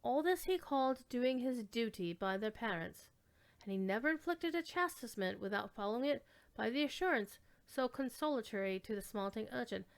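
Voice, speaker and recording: A woman giving a measured, theatrical dramatic reading of classic literary fiction, lingering on descriptive words. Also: fairly high pitch. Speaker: storyteller reading aloud.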